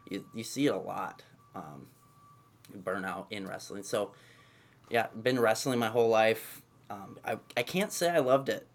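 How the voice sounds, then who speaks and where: A man in his mid-twenties talks through his background in a loose, rambling way, often leaning on filler words. His voice sits on the low side. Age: 20s. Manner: relaxed, rambling, many fillers and "essentially". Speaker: guest, movement coach. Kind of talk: casual conversational interview.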